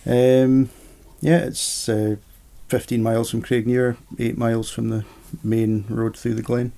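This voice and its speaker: Middle-aged man, guest in a casual chat, gravelly and mellow, rambles easily. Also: mid-range voice, little pitch movement, unhurried. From Scotland. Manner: easygoing, rambling storyteller. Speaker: interview guest. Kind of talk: casual conversation.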